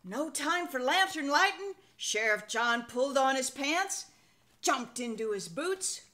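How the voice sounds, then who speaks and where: An older American woman in her sixties reads a children's picture book aloud with expressive, folksy Western storytelling. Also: sits high, measured pace. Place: indoor room at home.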